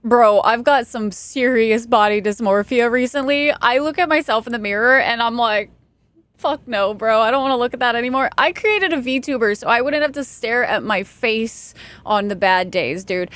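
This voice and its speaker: A young woman from Texas talks to her stream chat while she plays, her voice sitting a little high. She rambles casually, pokes fun at herself and laughs often. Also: normal speed, fairly bright tone, dry recording. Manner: chatty, self-deprecating, laughs often.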